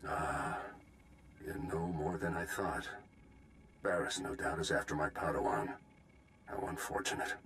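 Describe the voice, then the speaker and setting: A man playing a proud, protective Jedi Master in a video game, speaking in a measured, formal, defiant way. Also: rumbling low, gravelly, a bit bright, slight background noise, full character voice. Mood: guarded, resolute.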